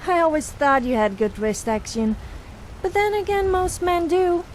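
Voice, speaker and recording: A woman's voice: very high-pitched, very animated, normal speed, slight background noise, low-quality recording. A woman voicing a cheeky, mischievous, flirtatious adventuring companion in a fantasy video game. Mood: teasing.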